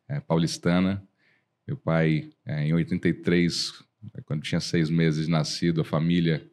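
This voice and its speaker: A Brazilian man in his forties, a lawyer guest on a podcast, telling stories in a lively way and acting out old conversations. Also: very deep voice. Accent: Brazilian Portuguese. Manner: animated storyteller, acts out dialogue, frequent restarts. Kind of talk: casual storytelling interview.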